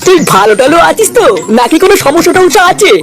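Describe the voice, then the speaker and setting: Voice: extremely high pitch, sing-song, quick pace, soft background music. A performer voicing a bossy, preachy old village uncle in an exaggerated comedy cartoon, pompous and scolding.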